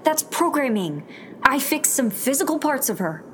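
A woman's voice: high-pitched, sing-song, moderate pace, fairly bright tone, slight background noise. A woman playing a hard-headed, pragmatic rebel fighter in a sci-fi audio drama, with a firm way of talking. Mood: defensive, heated. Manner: firm, argumentative, pressing with questions. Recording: clear music bed behind.

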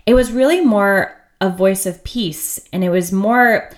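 A woman's voice: mid-range voice, a little slow. A woman in her thirties from the United States hosting her own podcast, talking in a chatty, upbeat and candid way with frequent laughs. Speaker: podcast host. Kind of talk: casual solo podcast monologue.

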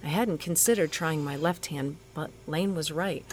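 A woman's voice: deep voice, moderate pace, a little mellow, slight background noise, natural voice. An actress playing a determined woman doctor in an audio drama, switching between narration and urgent, emotive dialogue. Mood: tense narrating. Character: determined, bossy woman doctor and scientist with powers. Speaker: narrator and lead actor in a fiction podcast.

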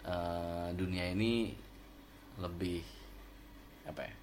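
A man co-hosting a casual podcast about films, relaxed and hesitant, often trailing off with fillers. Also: deep voice, takes it slowly, a little hiss or hum. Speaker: podcast co-host. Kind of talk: casual film chat.

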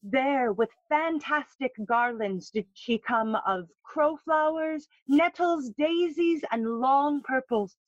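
Slow, high-voiced mournful queen. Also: no echo, highs cut off. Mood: mournful, lyrical. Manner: slow, poetic, elegiac. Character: mournful, lyrical queen bringing word of death. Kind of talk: bilingual Shakespearean audio play acting.

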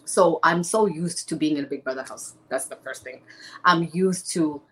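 Chatty, animated young woman from Zimbabwe. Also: low voice, moderate pace, low-quality recording. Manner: animated, chatty, many restarts and laughs. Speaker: interview guest, former reality show contestant. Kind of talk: casual chat.

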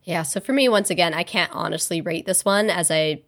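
A young woman co-hosting a casual book podcast, her voice slightly hoarse from a cold. She speaks in an easygoing way with dry little asides, at a lowish pitch. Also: a little fast, a bit bright. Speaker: podcast co-host. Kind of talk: casual chat and book synopsis.

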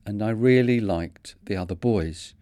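Podcast host in his fifties, Manchester accent, low mellow voice, dry close sound, earnest reading. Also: no room sound. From North of England. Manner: measured, earnest storytelling. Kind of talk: scripted reflective narration.

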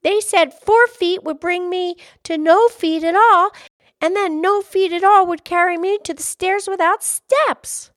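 Woman as a high, eager little girl. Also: expressive, normal speed, few pauses, no room sound, studio quality. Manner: eager, pleading. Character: earnest, determined little girl on a quest.

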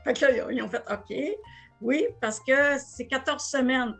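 A woman's voice: sits high, fairly even intonation, unhurried, vocal fry, soft background music. A woman in her sixties with a Quebec French accent, a business co-owner talking plainly in a casual podcast conversation, often restarting her phrases. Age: 60s.

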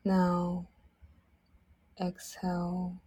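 A woman guides a sleep meditation in a soft, very breathy voice that often slips toward a whisper. She speaks extremely slowly, leaving long pauses between short, gentle phrases. Her tone is dark and mellow, soothing throughout. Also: lowish voice, monotone. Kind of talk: guided sleep meditation, slow instructional narration.

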